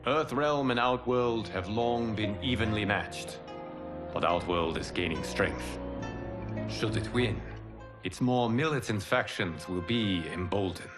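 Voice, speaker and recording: A man's voice: fairly low pitch, music in the background, lightly acted. An actor playing a wise, solemn male fire god who leads his champions in a video game cutscene. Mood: grave. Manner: measured, formal, commanding. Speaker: protector and leader.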